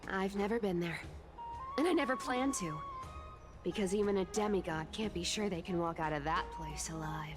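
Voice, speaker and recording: Sly, brash game trickster played by a woman, lively swooping delivery, unhurried, music underneath. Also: mid-range voice, natural voice. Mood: teasing warning. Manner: playful, teasing, theatrical swoops between airy murmurs and exclamations. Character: mischievous greedy demigod trickster woman, teasing and brash. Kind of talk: animated video game voice acting. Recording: game audio with clear background music.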